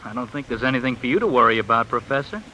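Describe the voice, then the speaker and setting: Sharp, insistent young schemer. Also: male voice, mid-range voice, animated, racing, slight background noise, poor audio. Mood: conspiratorial. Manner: persuasive, pressing. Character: insistent, scheming young man urging silence. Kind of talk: 1950s radio drama acting. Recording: old radio broadcast, rain behind.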